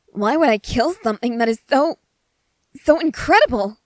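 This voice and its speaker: A woman playing an eager, excitable scientist soldier fascinated by creatures, speaking earnestly and emphatically to the listener in an ASMR roleplay. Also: on the high side, normal speed, dry recording, lightly acted. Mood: puzzled.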